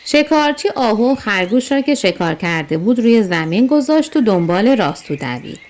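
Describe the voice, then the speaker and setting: Lady reading a kids fairy tale, highish voice, expressive storyteller delivery. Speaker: children's storyteller. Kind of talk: scripted storytelling narration for children.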